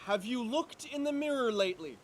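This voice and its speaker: A man's voice: extremely high pitch, animated, fairly quick, slight background noise. A man acting an anxious, exasperated twin brother who gets indignant and sarcastic in an amateur drama reading. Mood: worried, annoyed.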